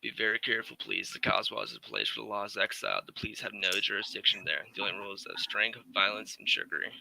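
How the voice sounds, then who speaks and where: A very sharp, forceful colonel of the Foreign Legion, played by a man, with a lowish, gravelly voice. He is stern and helpful, giving grave warnings in old radio drama style. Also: little pitch movement, fast talker, a little hiss or hum, heavily acted, rough recording. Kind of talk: 1940s radio drama acting.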